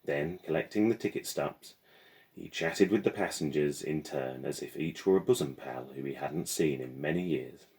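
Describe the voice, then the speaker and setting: A man's voice: extremely low pitch, normal speed, vocal fry, darkish tone. A man reading a children's novel aloud as the storyteller.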